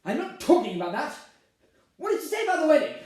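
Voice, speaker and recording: Man acting shrill, demanding bride-to-be, echoey. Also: huge pitch swings, normal speed, darkish tone, heavily acted. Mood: accusing. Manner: shrill, insistent, scolding. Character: demanding, exasperated bride-to-be arguing with her fiancé. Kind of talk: stage monologue acting. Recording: echoey room, distant microphone.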